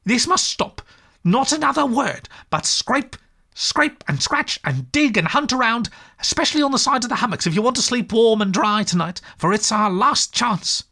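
A man voicing an excitable, eager male water rat in a storybook reading, with a high, shrill voice and impatient exclamations. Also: moderate pace, creaky, full character voice. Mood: exasperated.

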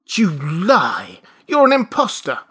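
A man's voice: medium pitch, sing-song, takes it slowly, pushed and bright, husky, full character voice. A man voicing a horrified, anxious new father in a storybook reading, nervous, hesitant and desperate.

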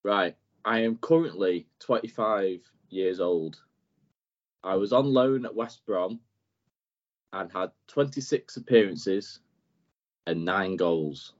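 Laid-back low-voiced quizmaster. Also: male voice, slow pace. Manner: laid-back, reads clues plainly, chuckles often. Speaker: podcast quizmaster. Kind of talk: casual quiz chat. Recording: dull lo-fi podcast audio.

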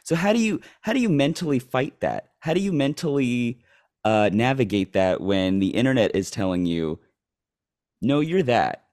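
A man's voice: mid-range voice, sing-song, normal speed, darkish tone. Curious man hosting a casual chat. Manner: curious and probing, rephrases his questions. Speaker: host.

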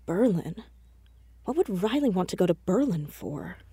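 A woman's voice: lowish voice, very animated, normal speed, mellow, husky, no room sound, studio quality, exaggerated voice. A woman playing a stubborn, inquisitive teenage girl sleuth in an audio drama, earnest and probing in a casual, argumentative way.

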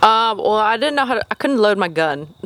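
A young woman talking as a guest in a relaxed interview. Her delivery is informal and giggly, and she makes light of herself as she goes. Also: medium pitch, moderate pace. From raised in China and Asia. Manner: giggly, self-deprecating. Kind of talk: casual chat.

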